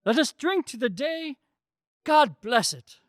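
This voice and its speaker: A man performs a hearty, festive old merchant for a radio drama. The voice sits very high and leaps around in pitch. He exclaims exuberantly, like a master rallying his household. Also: sing-song, measured pace, dry recording, clean studio sound, full character voice. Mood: merry, warm.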